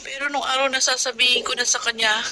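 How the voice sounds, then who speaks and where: A woman's voice: sits high, level tone, fast, natural voice. A woman playing a weary club hostess. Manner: earnest, tired. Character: weary young woman, nightclub hostess, protective older sister. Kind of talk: radio drama acting. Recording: heavy background noise.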